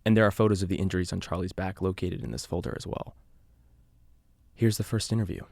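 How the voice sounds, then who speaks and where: A man playing an earnest island radio host, narrating an eerie mystery in a measured, conversational podcast style. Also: low-pitched, steady tone, vocal fry, a little mellow, a little hiss or hum. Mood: grave, intrigued. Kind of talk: scripted audio drama narration, confessional podcast.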